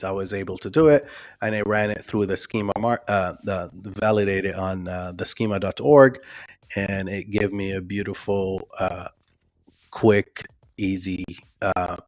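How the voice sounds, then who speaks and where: A man's voice: low voice, fairly even intonation, measured pace, no echo. A man whose first language is not English presents a live online workshop in a loose, conversational way, with many ums and restarts, and reads out viewer comments.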